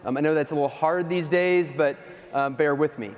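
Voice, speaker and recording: A man's voice: a little high, steady tone, a little fast. Chatty man on a reverberant stage. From US East Coast. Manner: chatty, self-deprecating, many fillers. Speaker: keynote speaker. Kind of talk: casual conference keynote. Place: large hall stage. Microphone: handheld or stage mic. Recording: reverberant hall.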